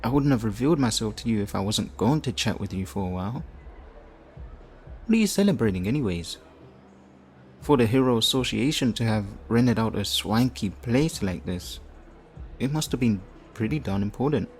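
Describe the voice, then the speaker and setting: A man playing a flirtatious, theatrical supervillain leader in an audio roleplay, smug and righteous, with teasing, drawn-out phrasing. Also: on the low side, lively intonation, slight background noise, natural voice. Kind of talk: audio roleplay addressed to the listener.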